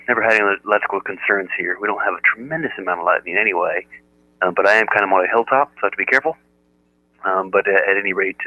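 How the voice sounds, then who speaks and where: A man chatting casually over amateur radio, explaining technical things in a halting, methodical way. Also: low-pitched. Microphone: radio transceiver audio output. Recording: narrow muffled radio audio, dry.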